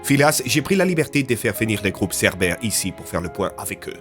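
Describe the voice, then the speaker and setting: A man's voice: lowish voice, sing-song, a little fast, a bit bright, faint music behind. A man voicing a smug research director in an audio story. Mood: smug, insistent. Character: smug male research director with a Germanic touch.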